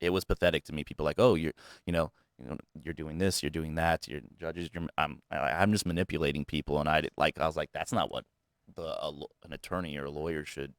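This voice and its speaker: A man with a very low, deep voice speaks candidly in an interview. His talk comes in halting bursts, full of restarts and repeated words, earnest and self-critical. The sound is dry and close, with no room around it. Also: fairly even intonation, gravelly, no echo. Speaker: guest, realtor.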